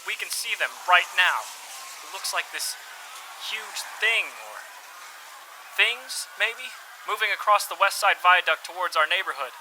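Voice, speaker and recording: A man playing a brash, casual, excitable American caller out with a rifle in a radio drama. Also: high-pitched, unhurried, background noise. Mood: eager. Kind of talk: radio drama phone call acting.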